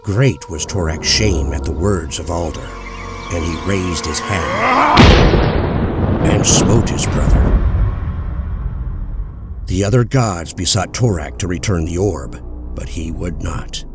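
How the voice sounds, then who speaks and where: A man narrating a fantasy audiobook in a measured, solemn storytelling manner. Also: deep voice, very animated. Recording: loud music bed under voice.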